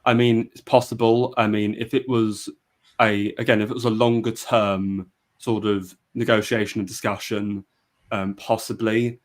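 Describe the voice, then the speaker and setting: Low, flat-voiced man hosting a chat. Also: normal speed. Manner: hesitant, many fillers and restarts. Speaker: podcast host. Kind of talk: casual live-stream discussion.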